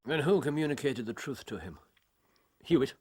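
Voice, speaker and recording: An actor playing a shrewd, discreet gentleman detective in a radio drama, with an English RP accent and clipped, precise, formal speech. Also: mid-range voice, animated, normal speed, slightly rough. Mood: probing. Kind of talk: British radio drama acting.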